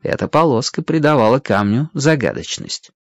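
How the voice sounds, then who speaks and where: Animated male storyteller, dry recording. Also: mid-range voice, normal speed, no room sound, muffled recording. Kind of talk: expressive scripted children's story narration.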